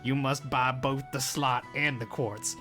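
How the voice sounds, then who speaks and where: A man's voice: medium pitch, fairly even intonation, a little slow, over music, natural voice. A man voicing a blunt, greedy video game shopkeeper who lectures pompously and insults his customers with crude asides. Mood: lecturing. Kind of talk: amateur fandub game dialogue.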